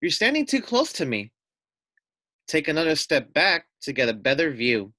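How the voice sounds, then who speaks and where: A man's voice: on the high side, huge pitch swings, moderate pace, pushed and bright, no echo, exaggerated voice. A man voicing a small, fluffy young female lamb, innocent-seeming but crafty, in a children's storybook. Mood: coaxing.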